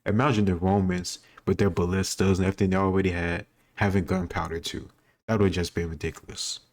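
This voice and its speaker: A man's voice: low-pitched, level tone, quick pace, a little mellow. A man giving casual commentary on a history quiz, thinking aloud in an informal, rambling way. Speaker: host reacting to a history quiz video.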